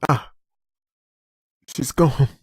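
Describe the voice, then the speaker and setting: A woman playing a caring survivor who takes charge in a horror comic dub, with a husky voice. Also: very slow, dry recording, clean studio sound. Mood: urgent.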